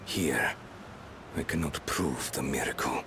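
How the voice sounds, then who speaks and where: A cold reptilian tyrant in a dramatic game scene. His voice sits at the very bottom of the range, measured and detached as he commands. Clear background noise surrounds it. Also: very deep voice. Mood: cold, commanding. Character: cold, imperious reptilian male warlord. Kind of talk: dramatic video game acting.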